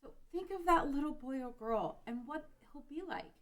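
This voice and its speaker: A woman's voice: sits high, expressive, moderate pace, a bit bright. A woman playing an earnest, tender-hearted young ranch girl, emotive, in an amateur fantasy drama read. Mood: encouraging. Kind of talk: amateur table read of a game-based drama.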